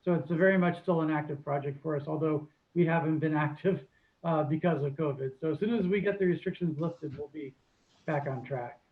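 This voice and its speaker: A man's voice: a little high, brisk, phone-quality sound. A man giving informal answers as an organization's representative, over thin video call audio. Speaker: society representative answering questions.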